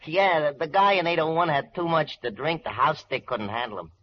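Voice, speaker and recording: An actor playing an eager, naive young hotel bellboy, chatty and slangy, in a 1940s radio drama. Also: male voice, a little high, a little fast, natural voice. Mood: eager, gossipy. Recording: muffled vintage radio recording.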